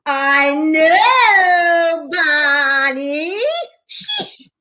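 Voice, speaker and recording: A woman playing a cranky, sharp-tongued, cynical small-town woman, crabby and sarcastic, in a staged reading. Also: high voice, expressive, very slow, exaggerated voice. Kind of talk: community theater staged reading.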